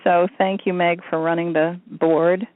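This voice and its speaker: A woman hosting a spiritual talk show, introducing herself and her co-host in a relaxed, plain way. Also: fairly low pitch, unhurried, dry recording. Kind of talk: casual chat on a call-in show. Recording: dry tinny phone line.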